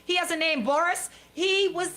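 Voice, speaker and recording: A woman's voice: very high voice, normal speed, bright, forceful tone. A woman hosting casual radio banter in a playful, incredulous, exclamatory way. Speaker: radio host.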